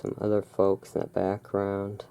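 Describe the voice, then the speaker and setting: A host reading aloud in a relaxed ASMR style, with informal, self-deprecating asides and rain and thunder behind. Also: low voice, a little slow. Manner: informal, self-deprecating, stumbles over foreign words. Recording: clear background noise of rain and thunderstorm. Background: rain and thunderstorm ambience.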